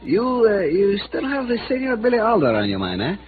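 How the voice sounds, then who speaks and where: Sly, swooping Latin police chief. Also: male voice, sits high, wildly swooping pitch, fairly quick. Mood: genial, teasing. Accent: Spanish-accented English. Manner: leisurely, teasing, formal phrasing. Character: genial, sly, worldly male Venezuelan police chief. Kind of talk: 1950s radio acting. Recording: muffled, noisy vintage radio broadcast.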